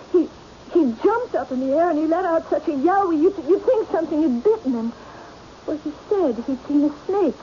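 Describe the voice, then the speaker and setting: An actress playing an anxious, naive woman in a radio mystery, speaking in a timid, halting way with repeated words. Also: sits high, natural voice. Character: anxious, naive, hesitant woman, easily dominated. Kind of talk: radio mystery drama acting. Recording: dull old radio recording, faint noise.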